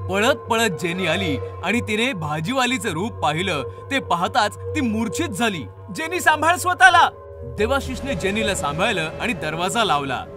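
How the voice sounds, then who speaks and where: A man narrating a scripted horror story in a dramatic storytelling manner. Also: sits high.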